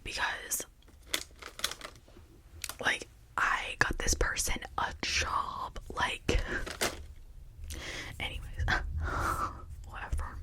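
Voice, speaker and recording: A person speaking in a breathy, unvoiced whisper, rambling in a confiding, personal way for an ASMR video. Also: extremely slow, long pauses.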